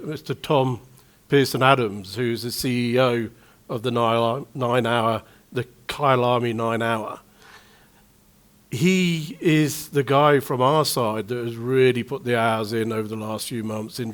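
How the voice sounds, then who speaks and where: A middle-aged man with a Manchester accent, an event promoter giving a chatty, self-deprecating address at a press conference. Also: mid-range voice. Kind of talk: informal speech to the press.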